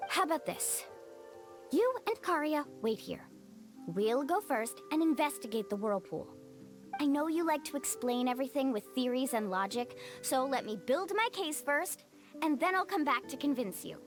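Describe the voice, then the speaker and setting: Stubborn young game guide lady, high voice, firm and sure, bit of music under. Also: animated, moderate pace. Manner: firm, assured. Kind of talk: video game character acting.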